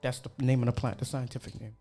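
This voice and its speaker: A young man from New York, a cook, chatting casually and animatedly with slang and quick asides. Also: medium pitch, level tone, warm tone. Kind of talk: casual chat.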